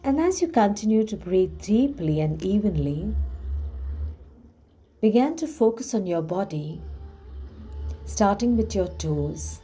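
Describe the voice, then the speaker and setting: A woman leading a guided relaxation visualization in a soothing, hushed manner with gently drawn-out phrasing. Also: fairly low pitch, husky. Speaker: guided meditation host. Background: background music.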